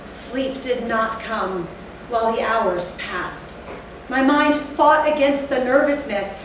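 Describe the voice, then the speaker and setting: A woman playing a haunted, fearful narrator in theatrical Gothic storytelling, with a deliberate, literary delivery. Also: a little high. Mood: dread. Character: haunted, fearful narrator recalling a night of horror. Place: theatre stage, recorded from a distance. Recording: echoey hall, distant mic, faint noise.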